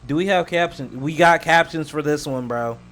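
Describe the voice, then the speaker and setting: A man's voice: a little high, moderate pace, fairly bright tone. A man doing casual reaction commentary as a host, slangy and joking, drifting into rambling asides.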